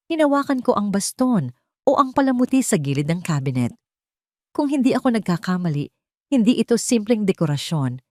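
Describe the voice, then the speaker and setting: A woman with a Filipino accent narrating a scripted first-person story in a measured, dramatic manner. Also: on the low side, a little mellow, no echo, studio quality.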